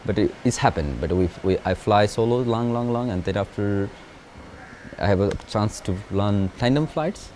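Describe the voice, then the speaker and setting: Nepali-accented male pilot, interview, deep-ish voice, stop-start non-native English, slight background hiss. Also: expressive, darkish tone. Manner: halting non-native English with many restarts. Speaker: paragliding pilot. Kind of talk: interview, recounting his life.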